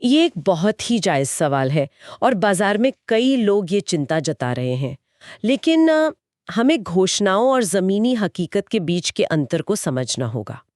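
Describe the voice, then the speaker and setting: A woman's voice: medium pitch, big pitch swings, a little slow, a little mellow, no room sound, clean studio sound. A woman with an Indian accent in a conversational explainer podcast, speaking in an assured, explanatory way as co-host. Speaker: co-host explaining company financials.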